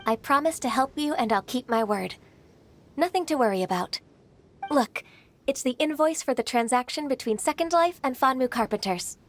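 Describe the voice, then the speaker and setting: A woman voicing an earnest, polite but firm secretary in a video game, with measured, formal phrasing. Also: high voice, lively intonation. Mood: gentle. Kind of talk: scripted video game voice acting.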